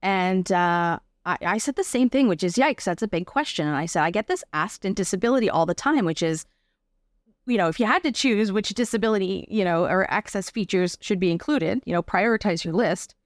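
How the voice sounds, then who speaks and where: A woman with a Canadian accent talks in a casual, thoughtful interview style. Her pitch sits in the middle of the usual female range and the sound is dry and close. She explains things informally and reflectively. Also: animated, a little fast, dry recording. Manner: informal, thoughtful, explains through examples and rhetorical questions.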